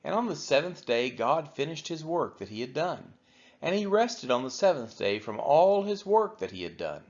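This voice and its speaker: A man reading a Bible chapter aloud for a church, measured and falling at the end of each phrase. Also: on the high side, very animated. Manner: measured, falls at phrase ends. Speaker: church scripture reader. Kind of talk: steady scripture reading. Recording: dull lo-fi audio.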